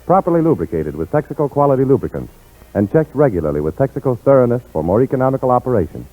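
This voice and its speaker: A man announcing a 1940s radio show in a polished, declamatory style, presenting the program and its sponsor. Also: mid-range voice. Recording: tinny, band-limited 1940s radio broadcast.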